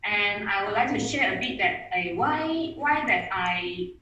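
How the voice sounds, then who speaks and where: A woman's voice: mid-range voice, expressive, measured pace, sharp tone, dull audio. Eager woman presenting in an echoey room. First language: Mandarin. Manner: eager, repeats words and restarts phrases. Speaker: webinar speaker. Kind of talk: online presentation. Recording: echoey room audio from a distance.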